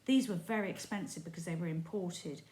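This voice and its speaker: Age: adult. Sex: woman. Role: teacher reading a storybook aloud. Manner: steady, expressive, slightly low voice in a roomy space. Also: fairly quick, a little hiss or hum. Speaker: primary school teacher. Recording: roomy echo.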